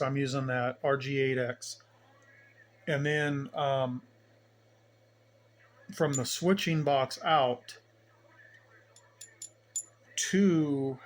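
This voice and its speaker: A man giving a casual, unscripted tour of his hobby gear, rambling and thinking aloud in a folksy way. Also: mid-range voice. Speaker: hobbyist radio operator giving a station tour. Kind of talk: casual unscripted equipment walkthrough. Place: indoors at home radio bench. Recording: noticeable background noise, home video.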